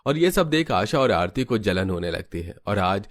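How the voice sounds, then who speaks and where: A man's voice: fairly low pitch, very animated, normal speed. A man reading scripted narration for a family cartoon story.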